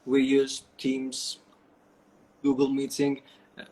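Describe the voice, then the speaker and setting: A man's voice: medium pitch, completely flat delivery, slow pace, mellow, poor audio. A middle-aged man with a Turkish accent, answering interview questions over a video call, with many fillers and restarts. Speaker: guest. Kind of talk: online interview.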